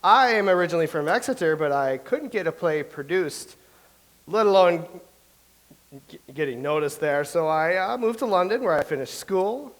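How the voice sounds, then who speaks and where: An actor plays a chatty young playwright who rambles on and recites verse slowly. His voice sits fairly high for a man. He sounds boastful and talkative. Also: animated, poor audio. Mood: rambling. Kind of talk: staged play reading.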